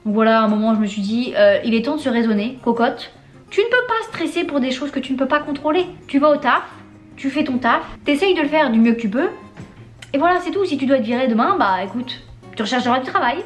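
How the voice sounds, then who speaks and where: A woman's voice: high voice, lively intonation, quick pace, faint music behind. A young woman from France talking candidly in a casual vlog, using slang and laughing often. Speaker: vlogger.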